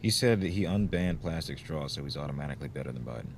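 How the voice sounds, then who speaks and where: A man's voice: a bass voice, expressive, fast. A man moderating a livestream debate, speaking in a casual, blunt style. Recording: faint background noise.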